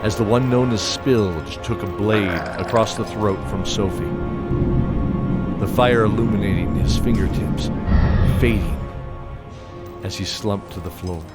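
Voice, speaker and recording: A man giving dramatic scripted narration of a fantasy tale, measured, pausing between short phrases. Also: on the low side. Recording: loud music under the voice.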